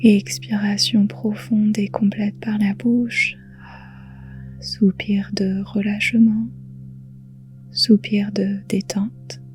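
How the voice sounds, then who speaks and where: Soft breathy lady doing yoga nidra, super slow and mellow, faint music behind. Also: medium pitch, level tone. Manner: soothing, hushed, breathy delivery with long pauses. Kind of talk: guided relaxation meditation.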